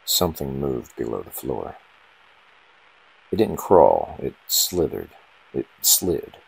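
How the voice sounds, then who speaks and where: A man reading a scripted first-person horror story in short, ominous, dramatic phrases, with a gravelly, husky voice. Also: very deep voice, big pitch swings, slow, poor audio.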